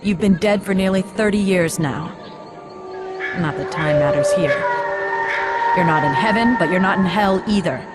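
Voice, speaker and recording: Low-voiced, composed female afterlife guide played by a woman. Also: moderate pace, pauses often, close to an everyday voice. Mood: composed, explanatory. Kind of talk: video game cutscene acting. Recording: loud music behind the voice. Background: loud music.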